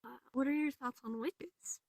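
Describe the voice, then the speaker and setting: A woman's voice: high-pitched, fairly even intonation, moderate pace, creaky, no room sound, clean studio sound. A young woman asking questions in a casual chat. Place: online voice chat in a virtual reality game.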